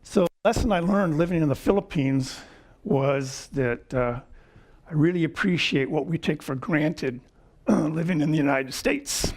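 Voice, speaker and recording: A man in his seventies giving a lecture with personal stories, wry and chuckling, often clearing his throat. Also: on the high side, a little slow, a little mellow. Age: 70s. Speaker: guest lecturer. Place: lecture hall.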